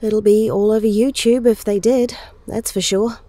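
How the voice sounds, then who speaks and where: A woman's voice: mid-range voice, fairly even intonation, moderate pace, dark tone, dry recording, natural voice. An actress playing a wry, sensible teenage girl hero in an audio drama.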